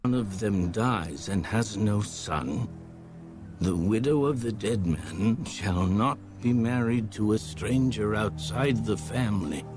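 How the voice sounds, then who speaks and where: A man narrating scripture from a script, solemn and deliberate, with measured phrasing. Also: fairly low pitch. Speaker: audio Bible narrator. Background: background music.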